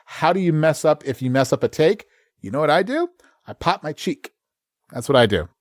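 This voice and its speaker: A man, a voice actor, chatting casually in an interview and telling stories with lively quick voice changes. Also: a little high, no room sound, studio quality. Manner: animated storyteller, slips into quick voices. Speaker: voice actor guest.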